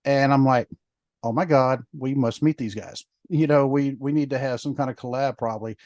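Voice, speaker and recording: A man's voice: mid-range voice, moderate pace, a little mellow, dry recording. A man co-hosting a podcast, chatting in a rambling way with lots of fillers. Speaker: podcast co-host. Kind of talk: casual podcast chat.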